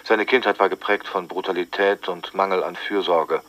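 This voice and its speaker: An actor playing a sober male psychiatrist who gives a formal assessment in a measured, matter-of-fact way. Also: low-pitched, steady tone, fairly bright tone, lo-fi sound. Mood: sober, clinical. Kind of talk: formal expert report in radio drama.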